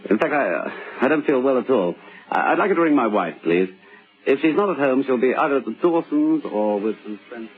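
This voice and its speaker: An actor playing a scheming husband of nearly forty in an old-time radio drama, speaking haltingly as he feigns innocent worry. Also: mid-range voice, animated, natural voice. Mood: feigned illness. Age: middle-aged. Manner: halting, stammering. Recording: lo-fi old radio recording, background noise.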